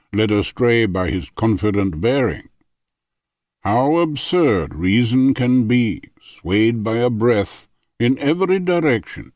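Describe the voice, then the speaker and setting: A man narrating a philosophical audiobook in a formal, measured manner. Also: on the low side, a little mellow, dry recording. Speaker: audiobook reader.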